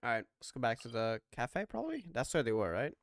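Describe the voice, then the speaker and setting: A man's voice: mid-range voice, sing-song, fast talker, no echo, studio quality. A man giving casual reaction commentary while streaming a video game. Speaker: gameplay streamer.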